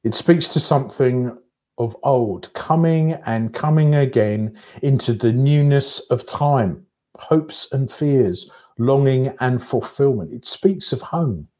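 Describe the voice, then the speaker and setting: Man, preacher, low-pitched, unhurried pace, contemplative, phrase-by-phrase reflective sermon. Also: warm tone. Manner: measured and contemplative, with pauses between short phrases.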